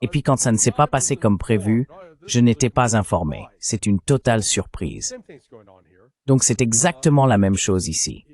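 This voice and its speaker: A man's voice: fairly low pitch, big pitch swings, normal speed, a little mellow. A man reading a translated voice-over of a political discussion in a blunt, opinionated way.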